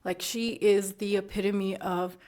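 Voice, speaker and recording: A woman's voice: mid-range voice, fairly even intonation, a little slow, darkish tone. A young adult woman from Dubai, a guest on a podcast, talking in a casual, reflective way and laughing often. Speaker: podcast guest, school principal.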